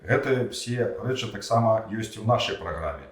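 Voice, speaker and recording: A man's voice: on the low side, animated, a little fast, echoey room, recorded from a distance. A man in a heated political debate, lecturing his opponent with indignation. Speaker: debate candidate.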